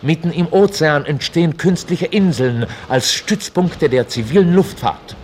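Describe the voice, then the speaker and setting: An actor playing a chronicler, narrating a mock history in a formal, matter-of-fact way for a radio play. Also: male voice, fairly high pitch, measured pace, darkish tone, slight background noise, natural voice.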